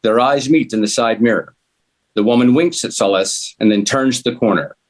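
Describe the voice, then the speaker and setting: A man's voice: medium pitch, moderate pace. A man reading a script's narration and stage directions aloud at a table read.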